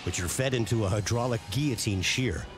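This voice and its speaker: A man reading scripted narration for a documentary series in a measured, punchy way. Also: fairly low pitch. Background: prominent background music.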